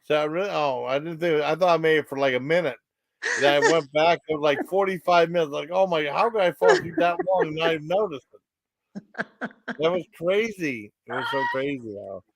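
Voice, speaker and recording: A man hosting a casual live stream, talking in a halting, repetitive, rambling way that often trails off. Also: fairly high pitch, expressive, dry recording, poor audio.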